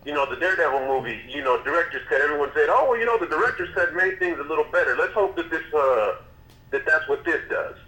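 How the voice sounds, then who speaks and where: A man co-hosting a casual podcast chat, animated and tripping over restarts as he makes his point. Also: on the high side. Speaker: podcast co-host. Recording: echoey room.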